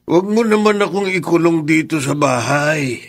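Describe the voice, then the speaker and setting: A man's voice: on the high side, expressive, normal speed. A man playing a stubborn, easygoing elderly father in a radio drama, wryly protesting with a Filipino accent. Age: older. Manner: protesting, wheedling, wry. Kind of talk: Tagalog radio drama acting.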